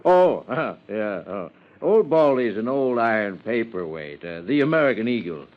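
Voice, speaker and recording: Folksy country sheriff, muffled sound. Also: male voice, mid-range voice, very animated, a little slow. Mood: folksy, puzzled. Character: folksy, plain-spoken male small-town amateur sheriff. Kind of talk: 1950s radio drama acting. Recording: muffled 1950s radio recording.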